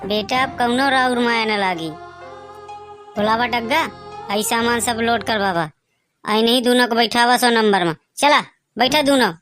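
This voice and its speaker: Man acting a bossy high-voiced Bagheli cartoon policeman. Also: normal speed, long pauses, a bit bright, soft background music. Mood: threatening. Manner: menacing, sarcastic, bossy. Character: bullying, threatening village policeman breaking up a party. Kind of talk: comic cartoon dialogue.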